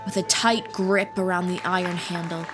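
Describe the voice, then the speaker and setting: A woman's voice: on the low side, little pitch movement, moderate pace. A woman reading a scripted fantasy story as an audiobook narrator. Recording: tavern crowd and music behind narration. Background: music and tavern crowd ambience.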